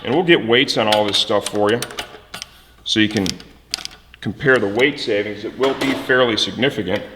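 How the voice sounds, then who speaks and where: A man's voice: lowish voice, normal speed, background noise. Echoey, plain-spoken man giving a how-to. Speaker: host of motorcycle parts install videos. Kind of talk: casual product walkthrough and step-by-step tutorial. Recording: echoey, reverberant room.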